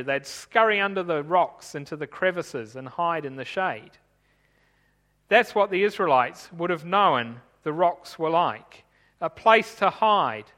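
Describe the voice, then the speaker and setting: High, bright-voiced man preaching in a hall. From Tanzania. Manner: measured, explanatory, reading from notes. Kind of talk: sermon.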